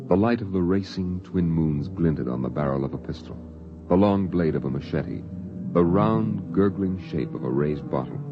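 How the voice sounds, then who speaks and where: A man acting as the solemn, poetic narrator of a 1950s radio science fiction drama, measured and atmospheric. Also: sits low. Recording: dull 1950s radio recording. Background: dramatic music.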